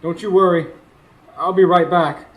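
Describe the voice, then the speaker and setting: An actor playing an anxious husband who narrates a supernatural horror radio play with intense, dramatic delivery, recorded live on stage. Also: male voice, sits high, fairly even intonation, normal speed, phone-quality sound, natural voice. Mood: urgent. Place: stage before a live studio audience.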